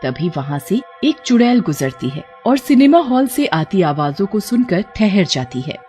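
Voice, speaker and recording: A woman's voice: mid-range voice, sing-song, moderate pace, dark tone. A woman narrating a scripted cartoon story. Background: background music.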